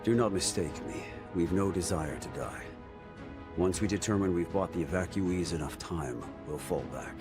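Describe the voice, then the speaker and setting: A man playing a resolute, honorable mercenary brigade leader in a video game, speaking formally and deliberately. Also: low-pitched, natural voice. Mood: firm, grave. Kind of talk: dramatic video game cutscene acting. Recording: loud music under the voice.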